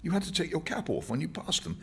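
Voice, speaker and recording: A man's voice: a little high, big pitch swings, fast talker, husky, voice pushed up, exaggerated voice. A man voicing an earnest, patient questioner who presses his points articulately in a novel reading. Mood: insistent.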